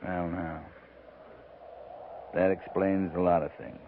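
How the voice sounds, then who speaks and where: A man with an American accent playing a grave, world-weary cavalry captain in a 1950s radio western, speaking in terse, deliberate phrases. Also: low-pitched, flat delivery. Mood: somber. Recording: muffled, noisy 1950s radio recording.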